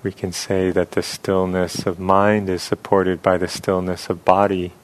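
A man leading a guided meditation as a teacher, speaking softly and unhurriedly with long pauses. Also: low-pitched, monotone, poor audio.

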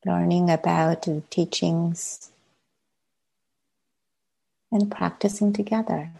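A woman, a native Chinese speaker, leading a guided meditation as a teacher, gently and with long unhurried pauses. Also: lowish voice, steady tone, gravelly, slightly rough, low-quality recording.

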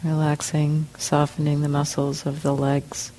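A woman leads a guided meditation, speaking slowly in short phrases with long pauses. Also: sits low, completely flat delivery, mellow, slight background noise, low-quality recording. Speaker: meditation teacher. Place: meditation center hall.

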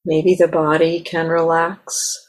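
Slow-paced woman guiding a meditation. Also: low voice, flat delivery, low-quality recording. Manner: gentle, halting, with repeated soft invitations. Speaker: meditation teacher. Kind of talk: guided meditation, unscripted and spoken in pauses. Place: meditation center talk.

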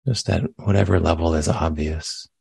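A man leading a guided meditation as a teacher, speaking gently and unhurriedly with long pauses. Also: low-pitched, fairly even intonation, gravelly, slightly rough, no room sound.

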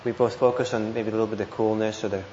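A man teaching meditation, giving guided instructions with gentle, hesitant phrasing and pauses. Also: lowish voice, little pitch movement. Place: indoor meditation hall. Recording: muffled, roomy live talk with noise.

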